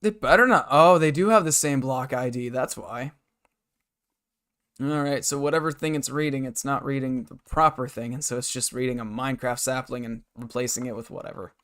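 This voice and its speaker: A hoarse man live-streaming a game from his bedroom desk, rambling and muttering to himself and his chat. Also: medium pitch, brisk, a bit bright. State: hoarse, scratchy throat.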